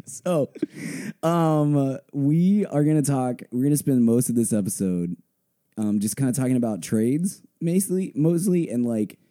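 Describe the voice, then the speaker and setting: A young man hosting a casual sports podcast, hoarse from a blown-out voice, rambling with many fillers. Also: mid-range voice, mellow. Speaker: podcast host.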